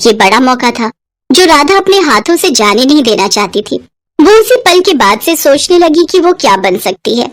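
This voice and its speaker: A woman's voice: high-pitched, very animated, moderate pace. A woman narrating a scripted moral bedtime story as a storyteller.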